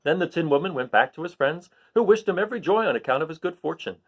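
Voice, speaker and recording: Animated man reading a story aloud. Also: on the high side, quick pace. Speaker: storybook reader. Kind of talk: expressive read-aloud narration.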